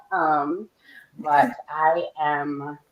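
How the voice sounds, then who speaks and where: A woman's voice: deep voice, steady tone, drawn-out words, highs cut off, a little hiss or hum. A woman in her forties from Philadelphia, a guest talking openly and chattily about herself on a panel. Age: 40s. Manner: open, candid, chatty with soft laughs. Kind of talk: casual panel chat.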